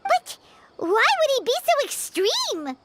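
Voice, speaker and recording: A woman playing a small, childlike, earnest fairy companion with a squeaky voice in an anime-style video game. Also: huge pitch swings, takes it slowly, very noisy, full character voice. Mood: shocked. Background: music.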